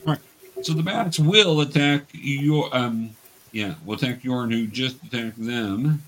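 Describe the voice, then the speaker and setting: A man's voice: mid-range voice, a little slow, a bit bright. Patient man guiding a tabletop game. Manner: patient step-by-step instructing. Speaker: game master. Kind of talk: running a tabletop game for young beginners.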